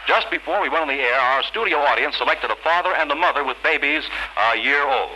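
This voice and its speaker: A man's voice: a little high, fast talker, bright, forceful tone, slight background noise, low-quality recording. An American man announcing in 1940s radio style, on a vintage broadcast recording.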